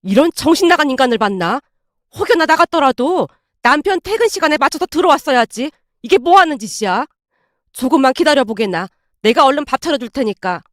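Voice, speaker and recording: A woman's voice: sits high, lively intonation, a little fast, fairly bright tone, no echo, studio quality. A woman voicing an older mother-in-law in a narrated family story, blunt, indignant and fiercely protective. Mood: indignant, fussing.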